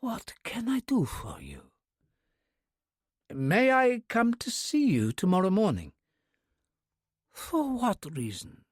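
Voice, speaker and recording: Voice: medium pitch, big pitch swings, dry recording, studio quality, voice pushed up, lightly acted. A narrator as a polite British army major. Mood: earnest. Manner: polite, formal and measured. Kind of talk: audiobook character voice.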